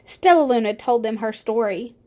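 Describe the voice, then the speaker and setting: A woman's voice: a little high, normal speed, warm tone. A woman reading a children's storybook aloud as scripted narration. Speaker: storybook reader.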